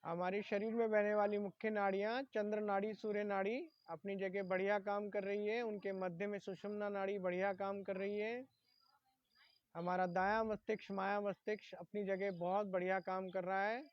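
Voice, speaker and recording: High-pitched, even-toned man guiding meditation. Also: normal speed. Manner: instructional, listing body parts in short phrases. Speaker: yoga and meditation instructor. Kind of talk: guided relaxation and chanting meditation. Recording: dull lo-fi sound in echoey room.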